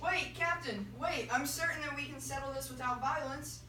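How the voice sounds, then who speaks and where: Teen girl as a high, urgent peacemaker. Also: moderate pace, lightly acted. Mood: pleading. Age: young teenager. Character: urgent colonial peacemaker merchant pleading for calm. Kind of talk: student dramatic reading. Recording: echoey classroom, far from mic, some noise.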